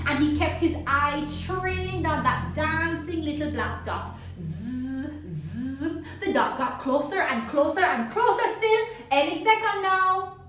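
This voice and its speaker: Echoey, high-pitched woman reading a children's story. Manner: expressive, dramatic, with drawn-out sound effects and questions to listeners. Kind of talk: animated read-aloud storytelling for young children. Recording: very echoey room, distant mic.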